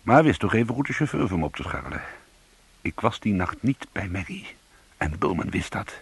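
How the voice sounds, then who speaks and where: An actor playing a world-weary, streetwise crook in a radio crime drama, with a husky, gravelly voice and slangy, hard-boiled delivery. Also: male voice, sits low, big pitch swings, a little fast, no room sound, heavily acted. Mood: wry, bitter. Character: world-weary, streetwise male crook telling his own story. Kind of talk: radio crime drama, first-person narration and dialogue.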